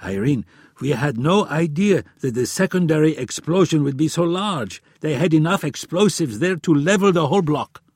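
A man's voice: medium pitch, moderate pace, hardly any pauses, a little mellow, dry recording, clean studio sound, lightly acted. A man voicing a blunt, combative Israeli intelligence chief in an audiobook, exasperated and emphatic in manner. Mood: defensive.

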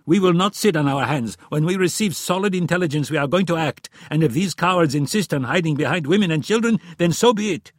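A man's voice: mid-range voice, moderate pace, few pauses, a little mellow, no echo, studio quality, lightly acted. A man voicing a blunt, combative Israeli intelligence chief in an audiobook, exasperated and emphatic in manner. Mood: frustrated, defiant.

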